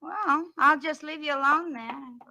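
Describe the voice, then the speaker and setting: Older rooming-house landlady, high sharp voice, folksy and complaining, vintage radio drama. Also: unhurried, full character voice. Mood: fussy, complaining. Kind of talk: 1940s radio character acting.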